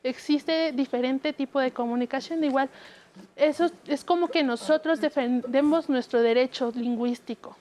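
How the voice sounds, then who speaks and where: A woman's voice: high-pitched, moderate pace, darkish tone, slight background noise. A woman with a Mexican accent interpreting live for a deaf guest, speaking in first person with frequent restarts. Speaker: sign language interpreter voicing the guest.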